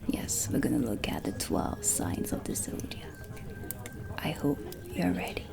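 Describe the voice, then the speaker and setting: A woman playing a stern, impatient dark witch teacher in a soft-spoken ASMR roleplay, with a deliberate, commanding manner over ambient music. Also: very deep voice, huge pitch swings, gravelly.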